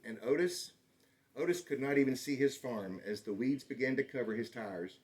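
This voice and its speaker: A man's voice: mid-range voice, moderate pace, darkish tone. Man reading a children's story expressively. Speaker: storybook reader. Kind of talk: children's story read-aloud.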